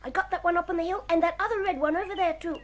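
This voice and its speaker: Very high-voiced cocky young boy. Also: racing, a little hiss or hum. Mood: boastful. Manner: boastful, taunting. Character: cocky, scornful young boy who collects stones. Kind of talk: radio drama acting.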